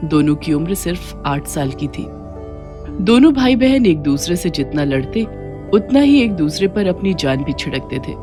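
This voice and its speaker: A woman's voice: fairly low pitch, big pitch swings, normal speed, over music. A woman narrating a scripted moral story for children as a storyteller.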